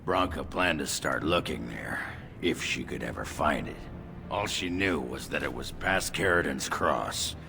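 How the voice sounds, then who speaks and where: An actor playing a crude, boastful, drunken dwarf warrior in a fantasy video game, gruff and gravelly. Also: male voice, extremely low pitch, animated, measured pace, exaggerated voice. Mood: gruff, explaining. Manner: gruff, coarse. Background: game music.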